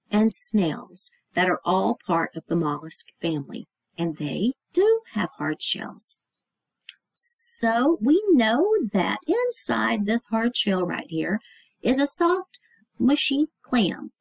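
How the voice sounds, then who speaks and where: A woman's voice: mid-range voice, creaky, slightly rough, no echo, poor audio. A woman reading aloud and explaining to young children as a teacher, stressing key words and putting questions to the listener.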